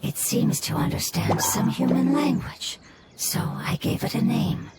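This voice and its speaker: An actor voicing an ancient nature being who cares for fungi and speaks in a calm, measured and wistful way, in video game dialogue. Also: medium pitch, very noisy, natural voice. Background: loud game music.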